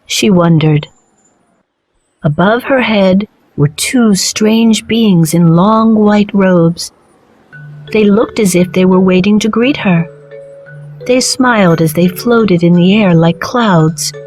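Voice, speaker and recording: Slow, clear woman storyteller. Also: medium pitch, faint music behind. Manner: slow, clear and even reading. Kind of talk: scripted storybook narration for children.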